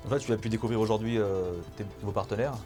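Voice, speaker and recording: A man's voice: lowish voice, quick pace, over music. A man interviewing a new player for the club, leading with prepared questions and stumbling now and then. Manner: leads with set-up questions, occasional stumbles.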